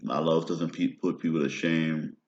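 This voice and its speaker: Very deep-voiced man rambling, roomy and dull. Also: fairly even intonation, moderate pace, a little mellow. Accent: American. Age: 30s. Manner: meandering, often ends phrases with "right?". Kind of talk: rambling personal monologue.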